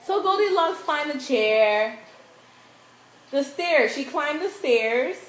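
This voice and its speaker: A high-pitched woman reads a children's fairy tale at a slow, deliberate pace. She is narrating a puppet show in a roomy, echoing space. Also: expressive. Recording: echoey room, faint background noise.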